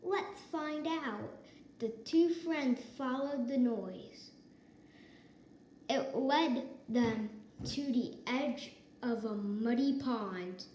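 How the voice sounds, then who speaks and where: A woman reading a children's story aloud with deliberate delivery and occasional corrected stumbles, in an echoey room. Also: a little high, dark tone. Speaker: storybook reader. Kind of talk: children's read-aloud narration. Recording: echoey room with faint noise.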